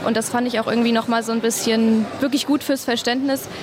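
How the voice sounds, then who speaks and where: A woman's voice: fairly high pitch, little pitch movement, fast. A young woman, a school student, giving a casual interview answer. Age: young adult. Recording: busy crowd and street noise behind.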